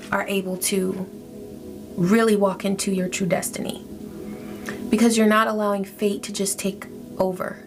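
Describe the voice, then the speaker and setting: A woman giving a spiritual tarot reading in a soft, reflective, gently encouraging way. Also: medium pitch. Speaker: tarot reader. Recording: faint music behind.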